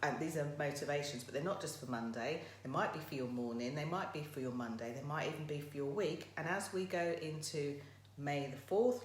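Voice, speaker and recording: Woman w low croaky voice chatting to viewers on a motivational live, roomy echo. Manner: chatty, addresses viewers directly. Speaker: motivational livestream host. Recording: roomy echo, faint background noise.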